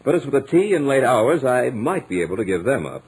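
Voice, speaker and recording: An actor playing a studious, stubborn young lodger with dry humour, in measured, dramatic 1940s radio horror style. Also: male voice, medium pitch, sing-song, darkish tone, slightly rough, exaggerated voice, low-quality recording. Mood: polite, firm. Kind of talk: 1940s radio horror acting, first-person narration. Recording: old radio drama recording with music.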